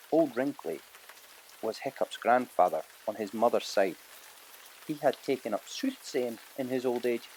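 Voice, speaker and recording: A man narrating an audiobook in a dramatic storytelling manner, pausing for emphasis. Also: mid-range voice, darkish tone, a little hiss or hum, low-quality recording.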